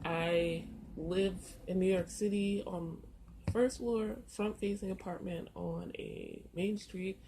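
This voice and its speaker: A woman with a sore throat giving a casual, unhurried walkthrough in her apartment. She pauses often and fills gaps with soft hesitations. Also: on the low side, fairly even intonation, rough recording. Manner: unhurried, halting, with many filler pauses. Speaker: tarot and oracle card content creator. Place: first-floor apartment on a busy street. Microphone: camera. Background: faint street noise.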